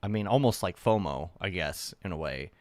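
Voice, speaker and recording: A man's voice: low-pitched, lively intonation, normal speed, darkish tone, no echo. A man hosting a podcast, asking rambling questions in casual chat. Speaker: podcast host.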